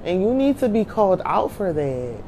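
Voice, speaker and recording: A woman's voice: mid-range voice, big pitch swings, normal speed, warm tone, slight background noise, poor audio. A woman in her thirties co-hosting a podcast, talking emphatically and repeating her lines for weight. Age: 30s. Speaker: podcast co-host. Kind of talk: casual chat.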